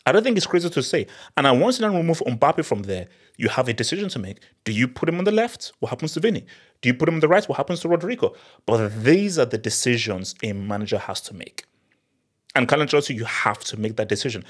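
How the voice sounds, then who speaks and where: Animated man giving football analysis. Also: medium pitch, fast, breathy edge. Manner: animated, emphatic, repeats words for emphasis. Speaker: football channel host. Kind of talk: post-match analysis monologue. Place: small home studio, indoors. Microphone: studio mic on boom arm.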